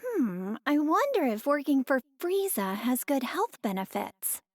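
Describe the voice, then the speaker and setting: A woman's voice: high-pitched, very animated, a little slow, no room sound, clean studio sound. An actress voicing a sweet, curious young woman in an anime game, musing in a good-natured way. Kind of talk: scripted game voice lines.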